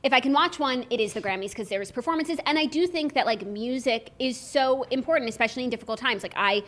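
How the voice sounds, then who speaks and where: A woman's voice: high-pitched, fast, fairly bright tone. A woman co-hosting a pop culture podcast, upbeat and gushing, with lots of fillers and restarts. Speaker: podcast co-host. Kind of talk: casual pop culture chat.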